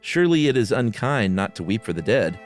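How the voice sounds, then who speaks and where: A man's voice: fairly low pitch, big pitch swings, a little fast. A man co-hosting a podcast, reading a horror short story aloud with casual asides, with music behind him. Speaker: podcast co-host.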